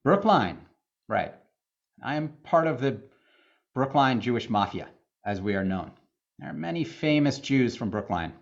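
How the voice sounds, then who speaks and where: A middle-aged man from the Boston area talks as an interview guest and treats absurd questions with a dry, deadpan humor. His voice sits in an ordinary middle range. The sound is a bit dull and lo-fi, like a live stream. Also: medium pitch, unhurried, vocal fry, long pauses. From Boston area, USA. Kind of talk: casual interview chat.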